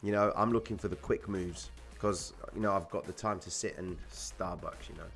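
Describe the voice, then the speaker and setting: A man's voice: deep voice, steady tone, normal speed, vocal fry, fairly bright tone, soft background music. A young man from Cyprus, casually hosting a trading livestream and answering viewer comments in slangy, laid-back chat.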